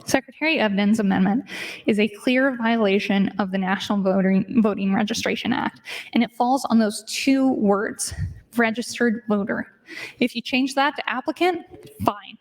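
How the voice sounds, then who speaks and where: A woman with a somewhat high voice argues on the floor of a legislative chamber, into a floor microphone. She reads statute language precisely, then drops into casual, chatty asides to press her case. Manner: argumentative, mixes statute readings with casual asides. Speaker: state legislator in floor debate.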